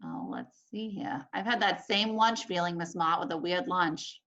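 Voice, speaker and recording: A woman's voice: fairly low pitch, expressive, normal speed, a bit bright, dull audio. A woman reading a picture book aloud for children and adding conversational remarks. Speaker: story reader, co-host.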